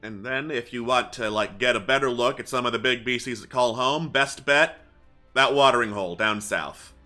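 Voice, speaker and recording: Weary, easygoing male guard played by a man, very bright forceful voice, casual grumbling guide for a tabletop game, music underneath. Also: mid-range voice, moderate pace, natural voice. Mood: casual warning. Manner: casual, grumbling, muddles his directions.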